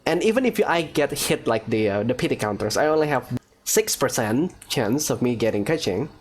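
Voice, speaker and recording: Animated man doing casual gaming commentary. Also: medium pitch, brisk. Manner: informal, thinking aloud. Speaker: gaming video host. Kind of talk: casual let's-play commentary. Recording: faint background noise.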